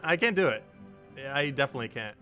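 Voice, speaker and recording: A young man with a low voice, chatting casually on a live stream while he games, wandering off on tangents and laughing often. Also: expressive, quick pace, bright, forceful tone, soft background music. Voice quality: low-pitched voice.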